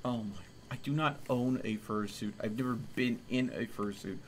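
A man chatting casually as he streams a game, exclamatory and quick to swear. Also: mid-range voice, slight background noise. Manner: profane, exclamatory. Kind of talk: casual gaming chat with friends. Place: indoor room, streaming setup.

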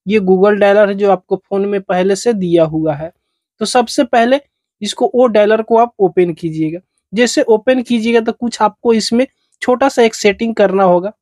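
A man's voice: high voice, moderate pace, darkish tone, dry recording. Man giving dry-sounding phone tutorial. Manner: repetitive, instructional phrasing. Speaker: tech tutorial host. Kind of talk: step-by-step phone tutorial narration.

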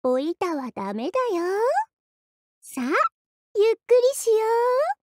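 A woman voicing a gentle, cozy young girl soldier in an anime game, with a soft, cutesy and politely familiar manner. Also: sits high, big pitch swings, unhurried, a little hiss or hum. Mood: cheerful, gentle. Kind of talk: anime mobile game character voice lines.

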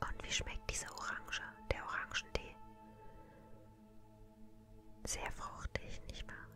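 Whispering woman, gentle ASMR roleplay. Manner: gentle, unhurried, polite customer service. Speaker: ASMR creator playing a tea shop owner. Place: small indoor room. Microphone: close to the microphone. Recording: soft music behind close whisper. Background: relaxing music.

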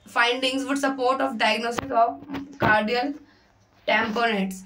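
High-pitched woman slowly reading quiz questions. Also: fairly even intonation, noisy background. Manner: mixes Hindi and English, repeats "ठीक है"; reads questions slowly, explains quickly. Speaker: nursing exam tutor. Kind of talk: explaining exam answers. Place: voice-over on screen recording.

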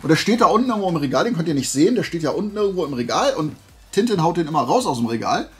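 A man's voice: fairly high pitch, very animated, very fast, faint music behind. A man chatting casually and unscripted to viewers as a video host, enthusiastic, colloquial and rambling. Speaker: video host showing collectible finds.

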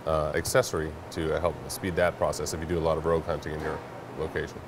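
A man's voice: very deep voice, little pitch movement, fast talker. A man in his thirties explaining a product in an interview, matter-of-fact and a little hesitant. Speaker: network product company representative, guest. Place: large indoor warehouse staging space. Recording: clear background noise of a warehouse.

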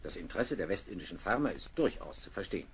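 Gentleman botanist, very lively intonation, sociable and expansive, faint noise behind. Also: low voice, quick pace. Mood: enthusiastic, expository. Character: sociable, enthusiastic gentleman botanist aboard ship.

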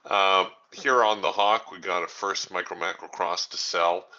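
A man hosting a trading training room, thinking aloud in a relaxed way as he walks through live trades. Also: low-pitched, a little slow, highs cut off. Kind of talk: live trading commentary over screen share.

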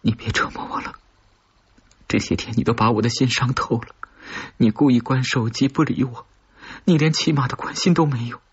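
Man putting on a breathy, obsessive lovesick woman. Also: mid-range voice, steady tone, normal speed, highs cut off, voice pushed up. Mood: desperate, pleading. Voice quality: breathy, whispery. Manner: imploring, intense. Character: obsessive, lovesick, pleading woman who has killed.

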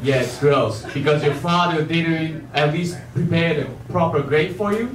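A young man from Japan performs stand-up with a high voice. He delivers his set emphatically but haltingly, repeating phrases. The handheld stage mic sounds echoey and distant. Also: crowd noise. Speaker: amateur stand-up comedian. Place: theater stage before a live audience. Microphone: handheld wired microphone.

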